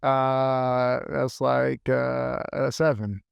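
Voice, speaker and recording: A man being interviewed as a fitness coach, answering earnestly and thinking aloud in measured phrases. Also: mid-range voice, flat delivery, gravelly, clean tone, no room sound, muffled recording.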